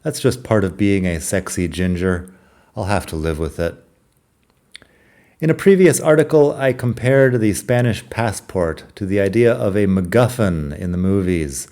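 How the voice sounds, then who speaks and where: Deep-voiced wry American man. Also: moderate pace, dark tone. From United States. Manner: wry, self-deprecating, reflective. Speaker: podcast host. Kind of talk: scripted personal podcast narration.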